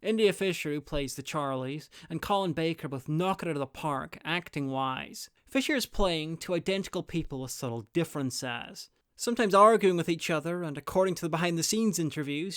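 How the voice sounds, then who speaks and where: A man presents a scripted review as its host. His voice sits fairly high for a man and comes through smooth and crisp, recorded close and dry with hardly any room. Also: normal speed, hardly any pauses, a bit bright, no room sound.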